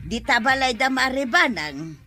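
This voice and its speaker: A woman's voice: sits high, expressive, moderate pace, bright, forceful tone, soft background music. A woman playing a stubborn, evasive villager who owes money in a comedy audio drama, defensive and whiny with an Ilocano accent.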